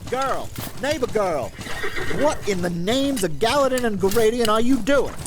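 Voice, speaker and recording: A man's voice: high voice, big pitch swings, moderate pace, noisy background. A man playing a pompous, indignant elf landholder who speaks formally and threateningly in a fantasy audio drama. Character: haughty, indignant male elf landholder on horseback.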